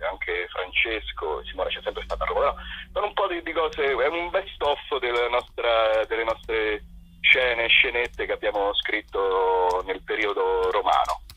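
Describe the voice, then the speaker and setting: A man chatting casually as an interview guest, a husky voice and a rambling, hesitant, jokey and self-deprecating manner. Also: on the low side, measured pace, bright, forceful tone. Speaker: interview guest, theatre company performer. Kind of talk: casual radio interview chat. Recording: dull, boxy, roomy phone-line sound.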